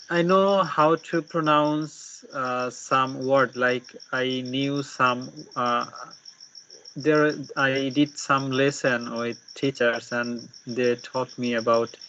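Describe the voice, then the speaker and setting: Casting: male learner, bright voice, halting casual talk, dull recording with faint chirping. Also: mid-range voice, flat delivery. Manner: halting, searches for words. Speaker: English learner. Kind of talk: casual practice conversation. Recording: dull, noisy with insect chirps.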